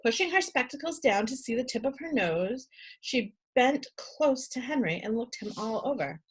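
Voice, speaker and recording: A woman reading a storybook aloud to children in an expressive storyteller's style. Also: a little high, normal speed, breathy edge, low-quality recording. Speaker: read-aloud host and storyteller.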